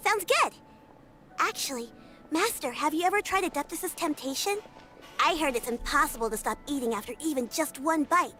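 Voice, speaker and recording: High-pitched, chirpy young disciple girl. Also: lively intonation, normal speed, pushed and bright, soft background music. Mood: curious, cheerful. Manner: casual, youthful, eager.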